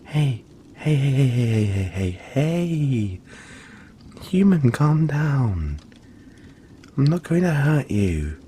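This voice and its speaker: A man voicing a big, friendly, apologetic yeti in an audio roleplay, speaking gently to the listener in a halting, chatty, playful way. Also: mid-range voice, sing-song, a little hiss or hum. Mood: apologetic, soothing.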